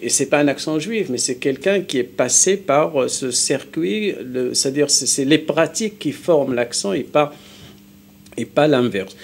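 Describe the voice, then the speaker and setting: A man with a Moroccan accent gives explanations as a guest expert in a studio interview. His pitch is middling, and he often stops, repeats and rewords his points as he goes. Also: darkish tone, slight background noise. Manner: halting, repeats and rephrases himself. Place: television studio.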